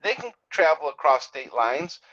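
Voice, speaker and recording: Male trucking owner, notably high voice, frank and informal, slightly lo-fi recording. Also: sing-song, normal speed, bright, forceful tone. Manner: blunt, straight-talking, acts out quotes. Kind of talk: casual opinionated discussion.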